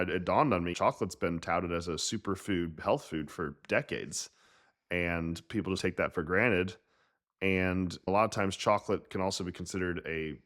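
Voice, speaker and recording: A middle-aged man hosting a health podcast in relaxed, teasing casual chat. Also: low voice. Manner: relaxed, teasing, easy laughs. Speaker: podcast host.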